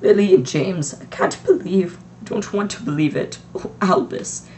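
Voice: medium pitch, very animated, moderate pace, a little mellow, slight background noise, voice pushed up. A reader voicing a strict older woman professor who speaks in a clipped, impatient way. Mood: shaken. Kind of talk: audiobook reading.

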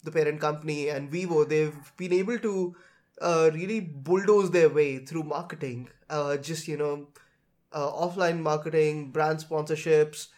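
A man's voice: fairly high pitch, steady tone, measured pace, darkish tone. A man, chatting casually as a tech podcast host.

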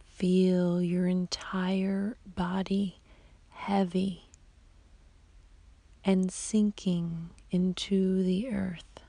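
Woman doing sleep meditation, super slow n whispery, creaky low-ish voice, soothing, long pauses. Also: flat delivery, no room sound. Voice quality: soft, breathy, whispery. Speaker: yoga nidra meditation guide.

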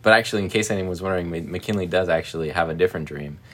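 Very deep husky man, laughing a lot. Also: racing, a bit bright. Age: 40s. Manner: laughs often, joking, chatty. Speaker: podcast co-host. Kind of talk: casual chat.

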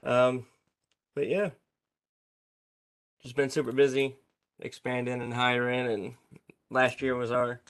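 Brief: mid-pitched man, flat monotone delivery, casual business catch-up on a call, frequent ums. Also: takes it slowly, long pauses. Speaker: business owner on a group call. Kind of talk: casual group video call.

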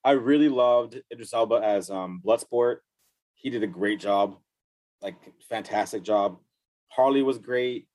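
A man's voice: lowish voice, unhurried, darkish tone, no room sound. A man co-hosting a podcast, sharing enthusiastic movie opinions and stumbling over words. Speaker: podcast co-host. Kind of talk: casual movie chat.

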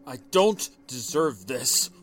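A man voicing a suspicious, volatile husband who talks in a forceful, accusing way. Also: fairly high pitch, lively intonation, brisk, faint music behind.

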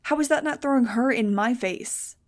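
A blunt, fierce teenage girl, as a woman reads her lines in a fan audiobook. She answers in heated, sharp retorts, pitched above the narration. The delivery stays close to a plain reading voice. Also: normal speed, close to an everyday voice. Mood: indignant.

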